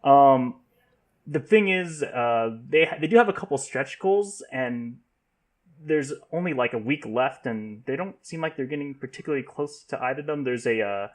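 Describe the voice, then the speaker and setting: A man hosting a casual podcast discussion, speaking haltingly with repeated false starts and stammers. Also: mid-range voice, expressive. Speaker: podcast host.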